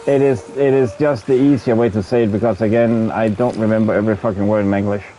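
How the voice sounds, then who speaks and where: A man's voice: lowish voice, fairly even intonation, quick pace, mellow, a little hiss or hum, poor audio. A man with a Danish accent, a native Danish speaker, chatting casually with viewers on a game livestream, stumbling and repeating words as he hunts for the right English term and swearing in frustration. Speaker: streamer.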